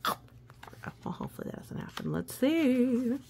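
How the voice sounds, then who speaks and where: A woman reading a children's storybook aloud expressively, with gentle asides and hushed, suspenseful phrasing. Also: sits high, measured pace, creaky, husky. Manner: expressive, hushed suspense, often dropping to a whisper. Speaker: storybook reader.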